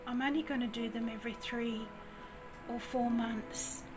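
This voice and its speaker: A woman with a naturally quiet voice giving a gentle tarot reading, talking softly with pauses. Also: fairly high pitch, fairly even intonation, music in the background. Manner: gentle, soft, slow and hesitant. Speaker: tarot reader. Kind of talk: soft-spoken tarot reading.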